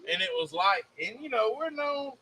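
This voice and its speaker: A Southern man with a high, bright voice chats in a folksy, rambling way as co-host. A faint hiss sits under the recording. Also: animated, moderate pace, rough recording. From American South. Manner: folksy, drawling, rambling storyteller. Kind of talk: casual chat.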